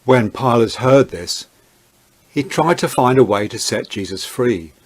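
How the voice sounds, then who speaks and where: Measured mid-pitched man narrating scripture. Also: lively intonation, slightly rough. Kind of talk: scripted narration of a Bible reading.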